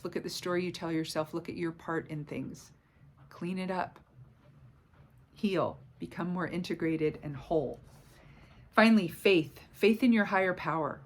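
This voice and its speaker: A woman's voice: lowish voice, a little hiss or hum. Soothing woman coaching on daily practice. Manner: slow, soothing, affirming delivery. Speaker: wellness coach. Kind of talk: guided self-help talk to camera. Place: home living room by a stove.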